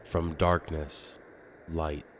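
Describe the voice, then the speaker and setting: A man playing a noble, weary alien hero, narrating a comic in a solemn, theatrical, measured way. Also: a bass voice, flat delivery, background noise, exaggerated voice. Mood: grave, slow. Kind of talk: dramatic first-person comic narration and dialogue. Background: loud music.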